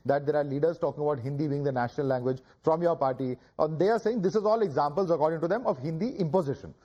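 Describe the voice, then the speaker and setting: Fast, high-voiced male debate anchor. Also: warm tone, lo-fi sound. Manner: brisk, interjecting, steering speakers by name. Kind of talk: moderating a TV debate.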